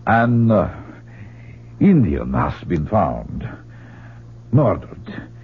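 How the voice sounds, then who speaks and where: Through a narrow, humming old radio recording comes a courteous, cautious Latin American expedition guide. His voice is fairly low and swings very widely in pitch. His phrasing is formal and polite, in the manner of old radio drama. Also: sing-song, extremely slow, breathy edge, heavily acted. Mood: uneasy, apologetic.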